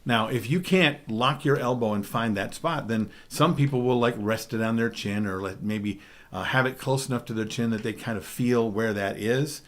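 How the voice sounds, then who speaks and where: A middle-aged man with a baritone voice giving a DJ training talk, emphatic, often checking in with "okay?". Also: normal speed. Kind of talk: spoken presentation.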